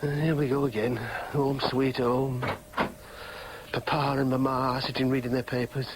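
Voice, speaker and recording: An actor playing a frustrated, defiant young working-class son with a Northern English accent, argumentative and self-dramatising, in a radio drama. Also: male voice, mid-range voice, flat delivery, normal speed, background noise, lightly acted. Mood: dry, resigned. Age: young adult. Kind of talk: British radio drama acting with interior monologue.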